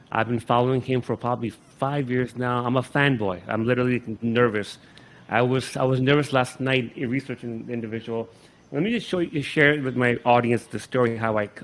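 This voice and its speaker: Informal male podcast host. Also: medium pitch. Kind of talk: casual interview.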